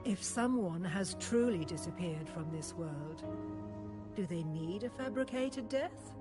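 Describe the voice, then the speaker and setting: An actress playing a stern, composed high priestess in a video game, speaking in formal, measured, deliberate phrases. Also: female voice, animated. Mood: grave, measured. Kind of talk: scripted video game voice acting. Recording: game music under the voice. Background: music.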